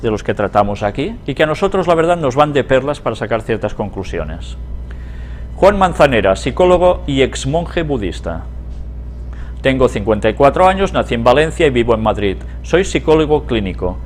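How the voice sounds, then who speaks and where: A man from Spain with a Spanish accent teaches in a religious lecture style, with a lively, varied pitch. He reads passages aloud and adds conversational asides and rhetorical questions, with faint music behind him. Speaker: Bible prophecy teacher. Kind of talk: religious lecture, reading articles aloud with commentary.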